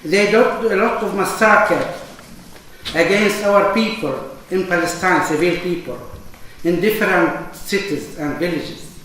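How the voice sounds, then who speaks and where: A man from Lebanon, a panelist and association chairman, giving a halting statement in a panel debate with many fillers and restarts. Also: sits high, a bit bright. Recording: echoey hall, distant mic, faint noise.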